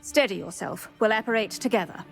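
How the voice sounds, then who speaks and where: An actress playing an older retired Auror, formal and proper in manner. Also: female voice, medium pitch, fairly quick, vocal fry, close to an everyday voice. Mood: brisk. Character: retired older woman Auror, stern but kindly. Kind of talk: video game voice acting. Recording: game audio with music behind.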